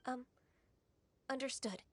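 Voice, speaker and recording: A woman voicing a gentle, earnest young immortal trainee in a video game, polite, measured and a little preachy. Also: a little hiss or hum, lightly acted. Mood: meek. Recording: music behind the voice.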